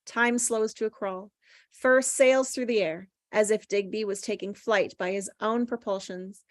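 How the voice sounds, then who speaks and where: A woman's voice: medium pitch, fairly even intonation, unhurried, dry recording. A woman taking part in a table read, chatting casually and reading scripted action descriptions. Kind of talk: casual chat and scripted narration.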